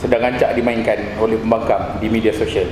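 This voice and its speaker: A man's voice: on the low side, little pitch movement, fast. A man with a Malaysian accent, putting formal political questions as an interviewer. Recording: echoey room with clear background noise.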